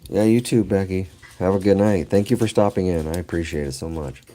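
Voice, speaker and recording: A man's voice: low-pitched, brisk, warm tone. A middle-aged man with allergies, chatting casually and rambling to viewers on his livestream, laughing often. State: allergies, voice lower than usual. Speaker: livestream host. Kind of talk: casual live chat with viewers.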